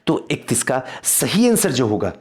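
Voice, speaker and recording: A man's voice: mid-range voice, sing-song, measured pace. A man teaching a quiz-style exam lesson, reading out questions and answers, repeating key phrases and addressing students directly.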